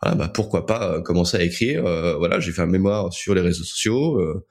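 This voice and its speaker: A man's voice: low-pitched, brisk. A young man telling his story casually as an interview guest, hesitant and often repeating words. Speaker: interview guest, co-founder of a digital media outlet.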